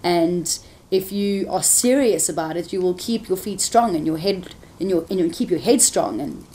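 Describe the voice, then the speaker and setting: A woman's voice: lowish voice, lively intonation, normal speed, dark tone, poor audio. A woman, a TV presenter answering interview questions frankly, with laughing asides. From South Africa.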